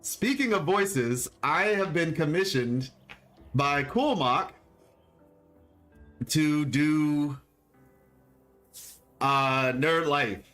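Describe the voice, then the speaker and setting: A man's voice: on the high side, extremely slow, pauses often, a bit bright, soft background music. A man chatting casually on a game stream, rambling with lots of fillers in a laid-back way. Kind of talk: casual live-stream chat.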